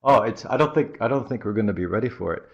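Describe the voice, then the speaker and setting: Belgian man with a faint American accent, deep gravelly voice, muffled lo-fi line, interview guest who pauses to think. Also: animated. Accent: slightly American-sounding. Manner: halting, thinks aloud with many pauses. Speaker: marketing guest. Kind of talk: podcast interview. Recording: dull lo-fi audio.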